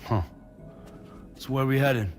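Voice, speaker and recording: An actor playing a gruff, weary, skeptical courier in a video game, with a gravelly, terse delivery. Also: male voice, medium pitch, unhurried, soft background music, lightly acted. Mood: terse, questioning. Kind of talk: cinematic video game acting.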